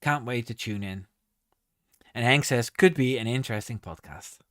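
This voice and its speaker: A man's voice: medium pitch, moderate pace, fairly bright tone, dry recording, clean studio sound. A man hosting a casual live stream, reading viewer comments aloud and answering with many ums and restarts.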